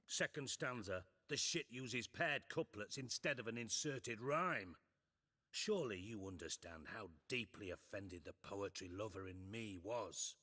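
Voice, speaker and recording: A man's voice: lowish voice, sharp tone, exaggerated voice. An actor playing a scheming, sardonic male spymaster in a game cutscene, delivering dry, menacing lines.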